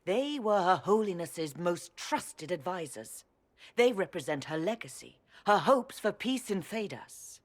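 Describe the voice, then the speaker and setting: A woman's voice: fairly low pitch, big pitch swings, measured pace. A woman playing an earnest, insistent church mother in a video game, formal and solemn in her speech. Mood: solemn, pleading. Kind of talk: video game dialogue acting.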